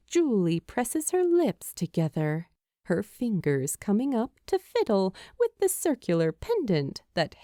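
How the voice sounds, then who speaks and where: A woman's voice: mid-range voice, dark tone, no room sound, clean studio sound. A woman reading a scripted story aloud as a fan fiction narrator.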